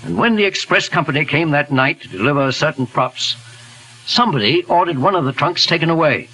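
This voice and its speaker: An actor playing a steady, kindly older detective with a measured, reassuring manner in 1940s radio style. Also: male voice, a little high, breathy edge, heavily acted, rough recording. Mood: calm, probing. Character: kindly, steady older male investigator, solemn. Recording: hissy 1940s radio recording, faint music.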